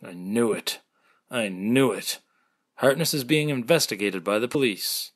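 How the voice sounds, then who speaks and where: A man playing an earnest, suspicious hospital doctor in a radio mystery, with a dramatic, deliberate delivery. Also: mid-range voice, lively intonation. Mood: vindicated, assured. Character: earnest, suspicious male hospital doctor sure of his judgment. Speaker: actor in an amateur audio drama. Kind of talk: remake of classic radio mystery acting.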